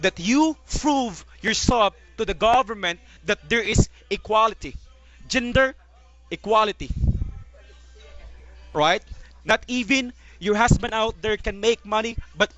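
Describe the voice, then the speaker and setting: A man's voice: high-pitched, expressive, slow pace, long pauses, a bit bright. A man working as a phone sales agent, coaxing and persuading in slightly broken English on a recorded call. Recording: muffled call recording with music behind.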